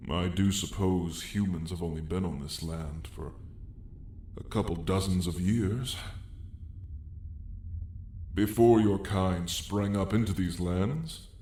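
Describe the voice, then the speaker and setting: A man's voice: sits low, a little mellow. A man playing an ancient, weary dragon lord with dry menace, telling his tale in a grand, wry, old-fashioned way for an ASMR roleplay. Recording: roomy echo, faint background noise.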